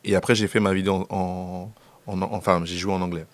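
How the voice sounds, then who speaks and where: A man's voice: low-pitched, little pitch movement, a little fast. A young man from the Central African Republic with a Central African French accent, a film director telling stories as an interview guest, laughing often and tripping over repeated words. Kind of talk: casual interview chat.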